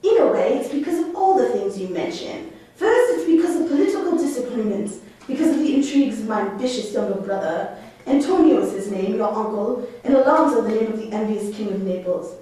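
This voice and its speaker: Exiled duke and sorcerer, puppet theatre, played by a woman: imperious, theatrical, fairly high voice, heavy room echo. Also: animated, brisk, warm tone, close to an everyday voice. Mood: grave, bitter. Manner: theatrical, commanding, declamatory. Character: proud, imperious male exiled duke and magician, a father. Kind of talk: stage puppet-play acting. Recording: echoey room, distant microphone.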